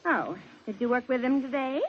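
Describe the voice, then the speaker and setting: A scheming mother of young crooks, speaking in a fairly high voice. She is calm and coaxing, as in a 1950s radio drama. The sound is dull, with some background hiss. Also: normal speed. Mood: calm, patient. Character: scheming criminal mother, calmly coaching her sons. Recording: muffled, noisy old radio broadcast.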